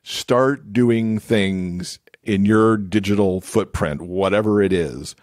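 A man's voice: lowish voice, takes it slowly, dry recording, studio quality. A man speaking as an expert podcast guest, explaining things in casual interview talk.

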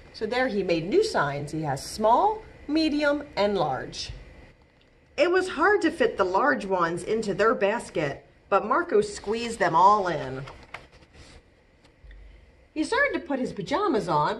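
An American woman in her thirties reading a picture book aloud to children as a librarian, in an expressive, clearly paced way. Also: medium pitch, a little hiss or hum. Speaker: children's librarian and storytime reader. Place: small bright indoor room.

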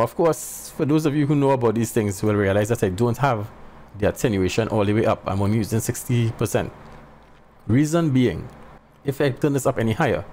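Male host in his thirties, headset mic, steady explainer tone, faint birds behind. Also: mid-range voice. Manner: plain, explanatory, talks straight to viewers. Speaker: channel host. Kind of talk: casual tech demo talk. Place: small home room. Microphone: headset boom mic. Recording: faint background birdsong noise. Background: faint birds chirping.

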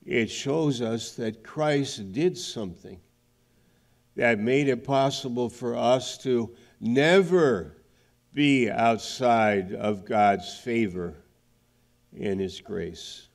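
A man's voice: mid-range voice, slow pace. A middle-aged male pastor preaching a sermon in a large church, explaining points carefully and often restarting a phrase. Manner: explanatory, restarts mid-phrase, gestures broadly. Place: large church sanctuary, indoors. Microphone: headset mic.

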